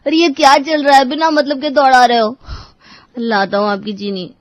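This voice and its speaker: A woman voicing a whiny, reluctant young boy who grumbles about errands in an animated moral story. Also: sits high, moderate pace, no room sound, lightly acted. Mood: indignant. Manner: grumbling, protesting.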